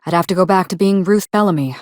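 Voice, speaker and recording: A low, closely recorded, dry-sounding voice for a video game acted by a woman: a proud actress in mourning. She speaks in measured, formal phrases, wistful yet wry, with a quietly ruthless streak. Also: no room sound, natural voice. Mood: resigned, melancholy. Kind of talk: video game dialogue acting.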